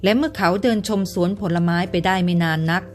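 Lively woman telling children's stories. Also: lowish voice, quick pace, soft background music. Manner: gentle, sing-song with children. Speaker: storyteller and mother. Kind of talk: children's bedtime story narration.

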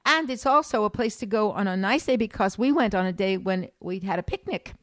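A woman's voice: medium pitch, animated, fairly quick, no echo. Enthusiastic woman co-host, dry sound. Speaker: podcast co-host, travel guide. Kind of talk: casual chat describing places.

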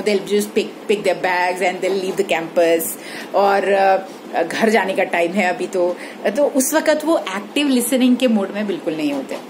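A woman teacher talks straight into her phone in a room at home. She explains each point patiently and often stops to ask if the listener is following. A faint hiss sits under the voice. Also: medium pitch. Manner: explanatory, mixes Hindi with English phrases, frequent "ठीक है?" checks. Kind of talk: recorded lecture. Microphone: phone held at arm's length.

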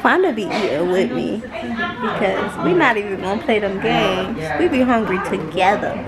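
A woman's voice: medium pitch, animated, normal speed, over a noisy crowd. A young adult woman chatting casually to camera in slangy, playful speech, recorded indoors on a handheld camera. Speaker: vlogger. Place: indoors, nail salon and home rooms. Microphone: handheld camera at arm's length.

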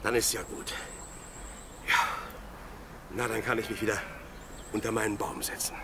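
A man's voice: fairly low pitch, moderate pace. An actor in a radio play voicing a dreamy, plucky young shepherd boy who muses aloud expressively. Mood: musing, cheerful. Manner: expressive, musing aloud to himself and animals. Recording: clear outdoor animal noise behind. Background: sheep, birds, outdoor ambience.

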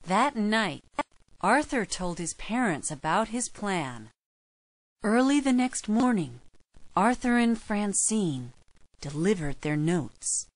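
A woman's voice: medium pitch, very animated, slow pace. A woman reading scripted narration for a children's storybook as its narrator.

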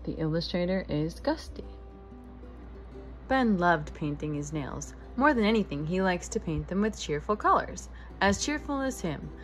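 A woman reads a picture book for preschoolers in the manner of a teacher. Her delivery is expressive and lively in pitch, aimed at small children. Faint music plays along with her voice. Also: normal speed. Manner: expressive, addressing young children. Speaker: preschool teacher reading aloud. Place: living room with couch, indoors.

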